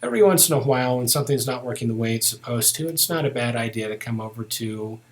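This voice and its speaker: A man teaching software in a casual, unscripted way, thinking aloud as he walks through each step. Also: mid-range voice, lively intonation, fast talker. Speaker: software tutorial instructor. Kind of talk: narrated step-by-step screen tutorial, unscripted.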